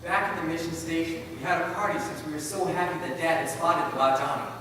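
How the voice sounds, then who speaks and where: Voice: medium pitch, steady tone, quick pace, fairly bright tone, natural voice. A stage performer as an earnest young son of a missionary pilot, narrating and pleading in a theatrical reading. The voice sits far from the mic in a cavernous, noisy hall. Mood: earnest, reflective. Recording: very echoey hall from afar, noisy.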